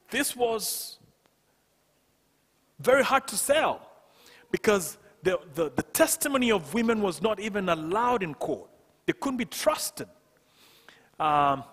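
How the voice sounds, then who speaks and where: A male pastor delivers a sermon with emphatic, deliberate phrasing. His voice sits a little high and has a husky grain. It rings with long reverb in a church hall, picked up by a headset microphone. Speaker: pastor, preacher. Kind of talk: sermon to a congregation. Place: church stage, indoors.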